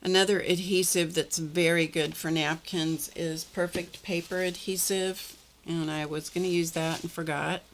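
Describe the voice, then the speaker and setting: Middle-aged woman, kinda croaky, lowish voice, chatty live craft demo, unhurried, bit of faint hiss behind. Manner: relaxed, chatty, talks while working with her hands. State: slightly croaky voice. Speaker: art journaling host.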